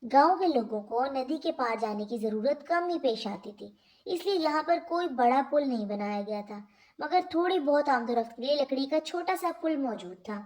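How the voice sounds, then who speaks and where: Woman w high pitched voice reading kids moral stories, careful storybook narrator style. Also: lively intonation, moderate pace, darkish tone, rough recording. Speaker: children's storyteller.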